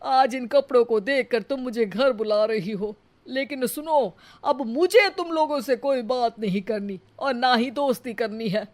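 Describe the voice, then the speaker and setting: Woman doing a simple proud mother-in-law for a cartoon story, kinda high voice, emphatic and homely. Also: measured pace, few pauses, darkish tone, lightly acted. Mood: indignant. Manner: plain-spoken, homely, emphatic. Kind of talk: acted dialogue in cartoon moral story.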